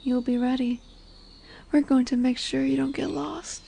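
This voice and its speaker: Woman as a high, soft, commanding lamia. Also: little pitch movement, moderate pace, creaky, a little hiss or hum. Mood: instructive, protective. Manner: soft, commanding, soothing, often dropping into whispers. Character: dominant, tender female lamia, snake-bodied forest monster. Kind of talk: scripted ASMR roleplay audio drama.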